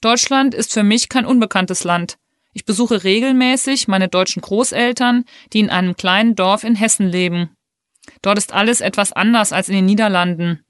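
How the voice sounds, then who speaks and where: A woman reading a first-person passage aloud for a language listening exercise, clear and careful. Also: mid-range voice, moderate pace, no echo, clean studio sound. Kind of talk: scripted reading of a textbook listening text.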